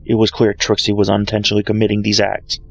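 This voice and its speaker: Guy reading a story, dramatic, bit of fry in his voice, music behind, homemade mic. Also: fairly low pitch, completely flat delivery, fast talker. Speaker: fan fiction reader. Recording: music behind, amateur microphone.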